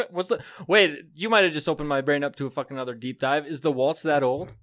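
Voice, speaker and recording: A young man with a bright, forceful voice pitched a little high. He teases his co-host on a casual podcast, gets excited easily and laughs through much of it. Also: a little fast, no echo. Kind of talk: casual comedic chat.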